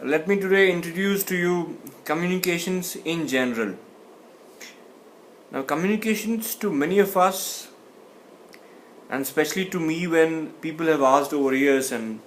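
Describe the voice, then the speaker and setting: A man's voice: a little high, slight background noise, low-quality recording. A man teaching a communication class, speaking thoughtfully and often restarting or repeating words. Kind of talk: explanatory lecture.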